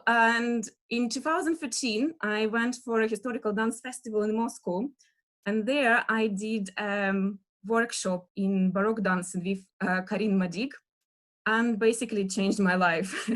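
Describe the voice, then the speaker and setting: A woman in her thirties from Russia with a Russian accent, giving an online lecture over a video call, pausing often with fillers and soft laughs as she searches for words. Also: medium pitch. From Russia (Western Siberia).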